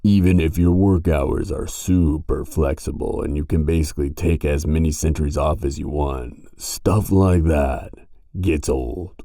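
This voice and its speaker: A man's voice: rumbling low, steady tone, measured pace, mellow, no echo, clean studio sound. A man voicing a brash, sardonic grim reaper in an audio roleplay, slangy, teasing and argumentative. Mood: dry, dismissive.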